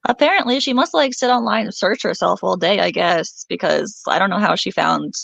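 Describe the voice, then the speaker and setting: A woman's voice: mid-range voice, fairly quick, vocal fry, fairly bright tone, no room sound. A woman talking casually as a guest on a stream, on dull, muffled audio. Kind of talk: casual chat.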